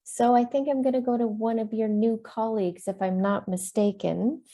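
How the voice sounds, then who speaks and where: Panel moderator, woman, fairly high and very dark-toned voice, friendly, brisk, on a video call. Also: fairly even intonation.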